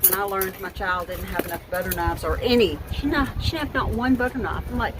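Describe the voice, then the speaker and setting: A woman's voice: medium pitch, brisk, noisy background. Chatty woman in her fifties. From United States. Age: 50s. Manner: rambling, chatty, frequent restarts. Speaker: thrift haul vlogger. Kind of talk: casual chat showing thrift finds. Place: at home.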